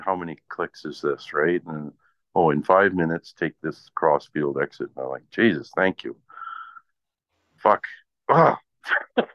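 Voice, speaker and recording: A man's voice: deep voice, sharp tone. A man with a Canadian accent chatting casually in blunt, profane, exasperated anecdotes. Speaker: radio presenter. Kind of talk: casual chat, ranting anecdotes. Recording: dull lo-fi audio in echoey room.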